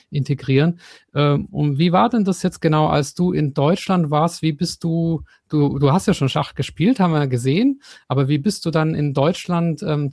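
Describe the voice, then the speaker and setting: A man's voice: a little high, a little mellow, dry recording. A man hosting a podcast interview in a slightly raised voice, stumbling through his long questions with fillers and restarts. The sound is dry and close, with no room around it. His manner stays casual and talkative. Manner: hesitant, many fillers and restarts. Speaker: podcast host.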